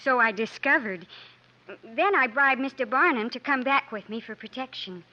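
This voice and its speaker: A bright, anxious young lady. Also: high voice, moderate pace. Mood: guarded, anxious. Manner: refined, earnest, dramatic. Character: anxious, well-bred young lady guarding her grandfather. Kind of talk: 1950s radio drama acting. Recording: muffled vintage 1950s radio recording.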